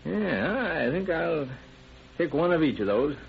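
An actor playing a mild, helpful store customer with a gravelly voice in an old radio drama. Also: male voice, a little high, sing-song, measured pace, heavily acted. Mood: mild, unhurried. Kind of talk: 1940s radio crime drama acting. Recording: muffled old radio recording with hiss.

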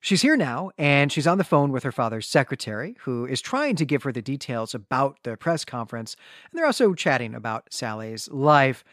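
A man's voice: medium pitch, sing-song, normal speed, a bit bright, no room sound, clean studio sound. A man speaking as a podcast co-host, giving an articulate, enthusiastic and conversational recap and discussion.

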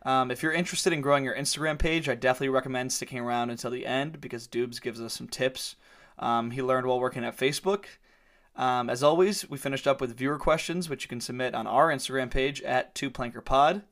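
A man's voice: mid-range voice, quick pace, a bit bright. A man hosting a podcast, talking in a laid-back, casual way with loose fillers. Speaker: podcast host.

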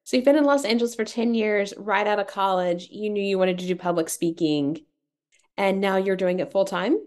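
A woman's voice: mid-range voice, normal speed, darkish tone. A woman hosting a podcast, reading an upbeat, motivational intro and then welcoming and questioning her guest. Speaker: podcast host.

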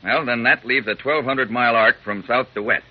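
A muffled vintage radio recording of a practical male sidekick, played by an actor. He speaks rapidly and matter-of-factly, with a husky edge. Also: mid-range voice, close to an everyday voice. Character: Bruce, practical quick-thinking male sidekick. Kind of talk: 1940s radio acting.

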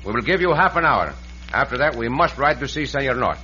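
A man's voice: medium pitch, very animated, fairly quick, over loud music, natural voice. A man playing a confident, courteous Mexican cowboy hero with a Spanish accent in an old radio western. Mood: brisk, courteous. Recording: hissy, dull vintage radio recording.

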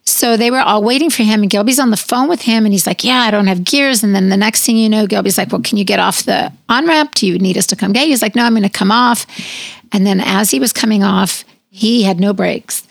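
A middle-aged woman with a raspy, hoarse voice, co-hosting a podcast and telling a long story in a chatty way. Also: medium pitch, fairly quick, no echo. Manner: chatty storyteller, fillers like "like" and "you know". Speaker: podcast co-host. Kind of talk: casual chat.

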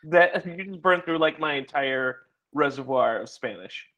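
A man's voice: fairly high pitch, animated, a little slow, pushed and bright, muffled recording. Joking, self-deprecating male host. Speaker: podcast host. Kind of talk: casual interview chat.

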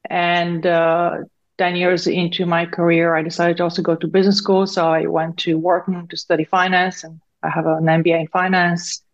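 A woman in her fifties, a native Bulgarian speaker, talks in a fairly low voice. She recounts things conversationally, as a guest in an interview. The sound is a little dull and lo-fi. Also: little pitch movement, moderate pace. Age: 50s. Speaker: interview guest, tech founder and CEO.

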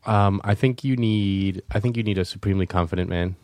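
A man's voice: low-pitched, a little fast, darkish tone, no room sound. A man chatting on a podcast, talking in a rambling, hesitant way. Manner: rambling, hesitant, many fillers and restarts. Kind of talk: casual podcast chat.

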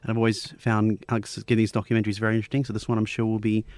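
A man's voice: fairly low pitch, monotone, racing, darkish tone, no echo. A man hosting a radio show, interviewing a guest and leading into the next topic with friendly questions. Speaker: radio host.

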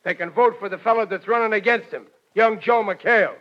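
Man playing a high-voiced, blustering radio dad. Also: fairly quick, natural voice. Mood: blustering, confident. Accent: American. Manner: folksy, bumbling, boastful. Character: blustering, well-meaning working-class father and war plant worker. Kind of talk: 1940s radio comedy acting. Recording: dull vintage radio recording.

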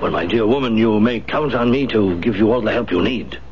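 Courteous, hesitant older-fashioned gentleman, solicitous, low voice, vintage radio drama. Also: a little fast, slightly rough. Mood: courteous, concerned. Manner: polite, fussy, hesitant. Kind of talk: 1970s radio drama acting. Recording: muffled, noisy 1970s radio broadcast.

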